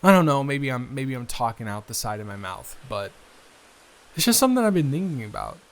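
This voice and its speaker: A man's voice: mid-range voice, very animated, brisk. A man playing a responsible, wry eldest son who rambles hesitantly in an improvised intimate audio roleplay. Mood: musing, mildly frustrated.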